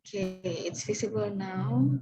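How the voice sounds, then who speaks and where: A woman's voice: medium pitch, fairly even intonation, measured pace, mellow, rough recording. A woman, earnestly walking listeners through slides in an online club presentation over a video call. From Indonesia. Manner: earnest, welcoming, talks through slides step by step.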